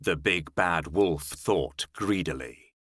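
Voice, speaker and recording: A man narrating a children's fairy tale in a measured, expressive storytelling style. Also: low-pitched, slightly rough, dry recording, studio quality.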